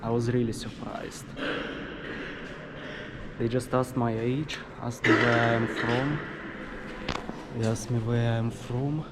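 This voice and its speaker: A young man in his twenties from Russia, speaking English with a Russian accent, talks to his camera in a casual travel vlog, halting and full of fillers and restarts. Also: medium pitch, little pitch movement, heavy background noise. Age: 20s. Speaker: travel vlogger. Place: sightseeing spots around a city, partly outdoors.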